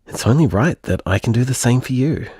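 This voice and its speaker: An actor playing a patient, loving male partner with a soft, husky, soothing way of speaking, in a naturalistic audio drama. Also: mid-range voice, animated, a little fast, no room sound. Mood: tender, consoling. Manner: tender, soothing.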